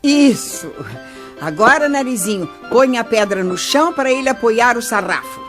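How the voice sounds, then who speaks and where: An actress plays a wise old grandmother with a very animated, swooping delivery. She patiently teaches her grandchildren in a children's radio drama, with music behind her. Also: on the high side, moderate pace, close to an everyday voice. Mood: encouraging. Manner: didactic, expressive storytelling. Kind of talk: children's radio play acting.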